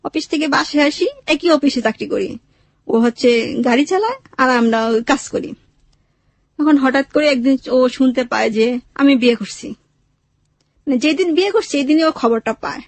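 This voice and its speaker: A high-pitched woman with a Bangladeshi accent tells a personal story. Her speech is plain and colloquial, full of quoted exchanges, and the recording is close and dry. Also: moderate pace, long pauses, no echo. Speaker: interview guest telling own life story.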